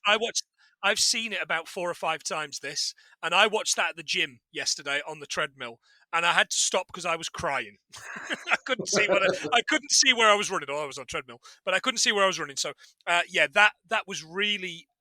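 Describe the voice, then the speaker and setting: A man's voice: high voice, lively intonation, brisk, bright, forceful tone, dry recording, low-quality recording. A man guest-hosting a podcast, chatting casually and self-deprecatingly, often restarting his sentences. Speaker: guest host.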